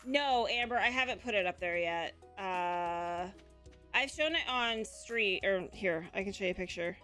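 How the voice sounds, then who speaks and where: A woman from the Pacific Northwest chatting casually while streaming a game, unhurried and rambling with frequent ums. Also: medium pitch, bright, forceful tone, soft background music. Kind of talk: casual chat while gaming.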